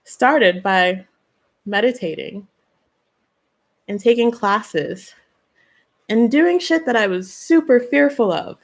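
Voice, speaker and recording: A woman hosting a live stream as a spiritual channeler. She talks in an earnest way, asks questions, gives gentle advice and answers viewers by name. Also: fairly high pitch.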